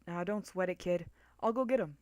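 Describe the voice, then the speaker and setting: A woman's voice: on the low side, brisk, warm tone, no echo, close to an everyday voice. A woman voicing a laid-back, joking male skeleton with a protective big-brother streak, in a casual, slangy dramatic reading. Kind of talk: dramatic fan-fiction reading.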